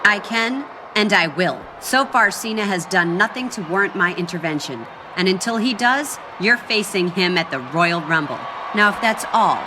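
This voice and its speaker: A woman's voice: medium pitch, expressive, moderate pace, hardly any pauses, noisy background, close to an everyday voice. A woman playing a stern, exasperated wrestling executive who is in charge, in a video game cutscene. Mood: dismissive, firm. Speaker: executive character. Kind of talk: scripted game cutscene dialogue.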